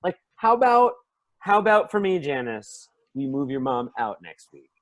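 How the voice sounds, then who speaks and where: A man's voice: a little high, big pitch swings, moderate pace. A man voicing Greg, a petty husband griping sarcastically about his mother-in-law.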